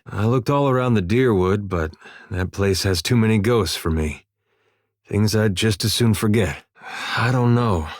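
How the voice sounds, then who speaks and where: Low-voiced folksy farmer-soldier, played by a man. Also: no room sound, studio quality, natural voice. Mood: wistful. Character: easygoing young-middle-aged farmer-soldier, wry, folksy, weary. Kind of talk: video game companion dialogue.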